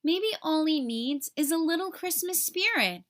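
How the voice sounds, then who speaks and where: A woman voicing a kindly, reassuring old Santa Claus in a storybook, explaining things gently. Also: high-pitched, animated, measured pace, voice pushed up.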